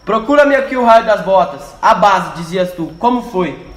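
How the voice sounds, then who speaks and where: A man's voice: sits high, lively intonation, normal speed. A man playing a brusque, decisive guerrilla commander in an amateur radio drama, giving curt questions and orders. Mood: startled, urgent. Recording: roomy, echoey amateur recording.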